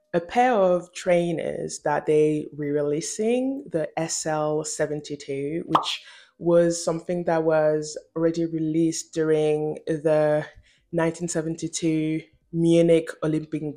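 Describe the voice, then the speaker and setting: A woman's voice: deep voice, gravelly, mellow. A young woman talking casually and emphatically to camera in an informal, slangy video essay style, recorded in a room at home. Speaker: video essayist and channel host.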